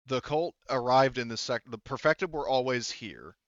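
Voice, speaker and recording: A man acting as game master, casually explaining game lore in a halting way with many restarts. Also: mid-range voice, fairly bright tone, no echo. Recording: slightly dull, dry close mic.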